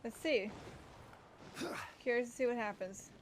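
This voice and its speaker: A woman's voice: on the high side, unhurried, a little hiss or hum. A woman giving casual, reactive commentary while playing a game, rambling and thinking aloud as she goes. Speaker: gamer streaming a playthrough.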